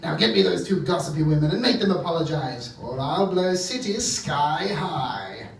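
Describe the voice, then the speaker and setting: In an echoey theatre, far from the mic, a bumbling evil professor rants in theatrical bluster. The voice sits high and leaps about wildly, petulant and exasperated, as in a comic stage serial. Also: male voice, expressive, normal speed. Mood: petulant scheming. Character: hammy bumbling male mad scientist villain, petulant and blustering. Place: theatre stage before a live audience.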